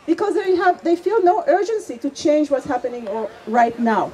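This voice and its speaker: A woman's voice: high voice, big pitch swings, normal speed, dark tone, some chatter behind. A woman from Israel, a Hebrew speaker, speaking earnestly and emphatically as an activist in an outdoor street debate. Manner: earnest, emphatic, repeats points for weight. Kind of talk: impassioned street debate speech.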